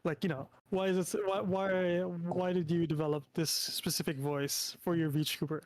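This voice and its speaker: A man's voice: a little high, flat delivery, normal speed, no room sound. A young Filipino man chatting casually on a stream, with lots of fillers and restarts. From Philippines. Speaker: streamer and VTuber host. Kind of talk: casual collab stream chat.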